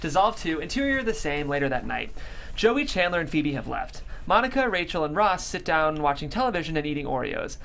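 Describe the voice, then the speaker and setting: Stage-directions reader for a table read: lively, varied intonation, faint room noise. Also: mid-range voice, brisk, gravelly. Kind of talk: scripted narration, screenplay read aloud.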